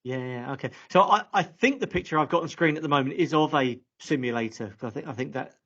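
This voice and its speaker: Male webinar host, fairly high voice, conversational and slightly tentative interviewer, muffled lo-fi video call sound. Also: fast, a bit bright, breathy edge. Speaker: host of a live careers webinar. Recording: dull lo-fi online call audio.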